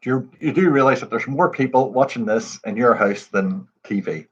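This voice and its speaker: Man hosting a quiz stream, husky, chatty, greets viewers by name, dull lo-fi audio. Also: medium pitch, lively intonation, moderate pace. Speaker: pub quiz host. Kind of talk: casual livestream chat.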